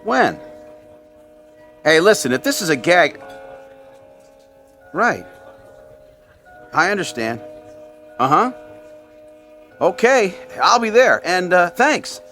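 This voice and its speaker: Washed-up ballplayer, American accent, swooping intonation, crackly voice, humble, hesitant, old-time radio play. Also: male voice, high-pitched, very animated, slow, long pauses, bright, forceful tone, faint music behind, heavily acted. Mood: stunned. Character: down-on-his-luck ex-ballplayer, humble, doubtful, earnest. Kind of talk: vintage radio drama acting.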